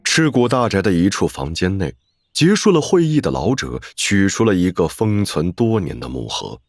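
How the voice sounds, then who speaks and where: A man giving dramatic scripted narration for an animated fantasy story. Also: low-pitched, normal speed, dry recording, clean studio sound.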